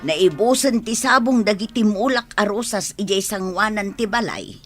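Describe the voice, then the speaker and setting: A woman's voice: medium pitch, lively intonation, moderate pace, faint music behind, natural voice. An actress playing an exasperated, protective elderly mother in a radio drama, with an Ilocano accent. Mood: nagging, exasperated.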